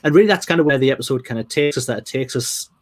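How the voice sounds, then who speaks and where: A man co-hosting a casual podcast chat, recapping in a relaxed, steady way. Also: mid-range voice, racing. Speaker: podcast co-host.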